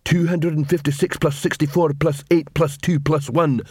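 A man voicing a slow-witted male troll watchman who speaks in broken, halting grammar. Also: a little high, little pitch movement, darkish tone, breathy edge, no room sound, studio quality, voice pushed up. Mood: gruff.

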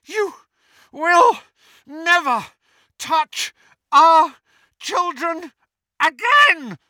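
Guy doing a furious mum witch screaming word by word, super high and forceful, audiobook. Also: big pitch swings, drawn-out words, no room sound, studio quality, exaggerated voice. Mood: screaming fury. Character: fierce, enraged protective mother witch, middle-aged.

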